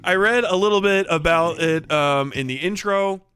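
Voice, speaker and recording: A man hosting a livestream in casual, rambling, sweary chat, with an animated way of talking into a close mic. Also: high voice, normal speed. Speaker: livestream host.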